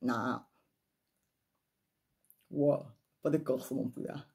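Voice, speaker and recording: Husky, mellow woman with southern French accent. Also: very deep voice, drawn-out words. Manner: emphatic, rhetorical questions and repetition. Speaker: life coach and spiritual vlogger. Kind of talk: opinionated spoken monologue to viewers.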